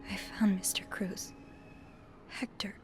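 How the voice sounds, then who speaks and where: A woman's voice: mid-range voice, flat delivery, slow pace, whispered, lightly acted. A young woman playing an earnest 1950s hotel maid in a video game, sensitive and easily shaken. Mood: shaken, panicked. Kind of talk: dramatic game cutscene acting.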